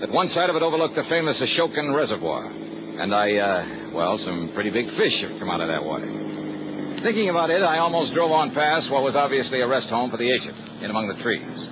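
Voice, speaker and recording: A man's voice: mid-range voice, big pitch swings, fairly quick. An actor playing a wisecracking male insurance investigator around thirty, dry and easygoing in a 1950s radio drama. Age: about 30. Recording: dull, noisy old radio broadcast.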